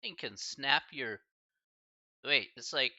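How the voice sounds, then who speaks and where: A man's voice: mid-range voice, expressive, slow pace, sharp tone, no room sound, dull audio. A man teaching a live-streamed math class in a casual, chatty way, full of fillers and slang asides. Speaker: math instructor.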